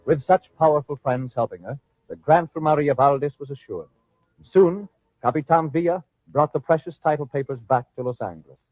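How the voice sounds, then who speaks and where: Male radio narrator, slightly high, formal storytelling, dry and muffled vintage recording. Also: brisk, no room sound, soft background music. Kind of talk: scripted old-time radio narration.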